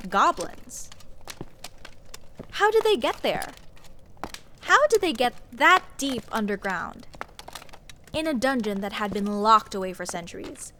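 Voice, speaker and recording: A woman's voice: a little high, bright, forceful tone, full character voice. A woman playing a snarky, nitpicking sorceress in a comedic fantasy audio roleplay, sarcastic and exasperated as she rambles. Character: snarky, nitpicking sorceress adventurer, scoffing at absurdity. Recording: clear background ambience noise, clicks. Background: dungeon ambience.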